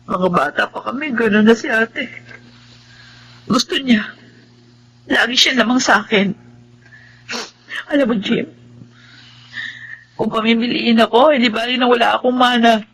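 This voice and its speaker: An actress playing a gentle, hurt younger sister with a respectful, pleading manner in a radio melodrama. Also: female voice, on the high side, steady tone, a little slow, pauses often, breathy edge, exaggerated voice, low-quality recording. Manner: soft-hearted, pleading, respectful. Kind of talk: Tagalog radio melodrama acting.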